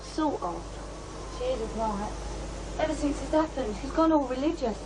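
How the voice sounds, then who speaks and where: A woman's voice: high-pitched, normal speed, noisy background, close to an everyday voice. Actress as blunt young British woman, guarded. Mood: flat, offhand. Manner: blunt, colloquial. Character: blunt, guarded working-class young woman, matter-of-fact about family. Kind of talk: radio drama dialogue.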